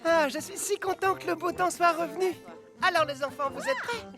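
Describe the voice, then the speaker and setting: High-voiced, fussy cartoon school bus. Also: female voice, fairly quick. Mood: cheerful. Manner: instructive, fussy, excitable. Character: caring, anxious talking school bus minding children. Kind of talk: animated children's cartoon dub. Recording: music behind the voice.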